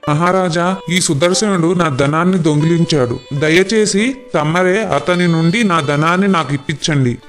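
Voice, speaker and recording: A man playing a greedy, hot-tempered merchant in an animated moral story, speaking in a dramatic, declamatory way. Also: fairly high pitch, moderate pace, hardly any pauses, soft background music, lightly acted. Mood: pleading, insistent.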